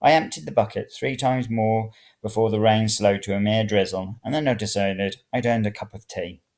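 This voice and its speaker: A narrator reading first-person serial fiction in an expressive, dramatic way. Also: medium pitch, moderate pace. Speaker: story reader.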